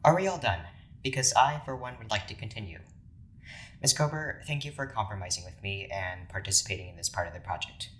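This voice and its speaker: An actor playing a stern, cautious doctor in an audio drama, speaking formally with dry, measured authority. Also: low-pitched, fairly bright tone, a little hiss or hum, low-quality recording. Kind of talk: scripted audio drama acting.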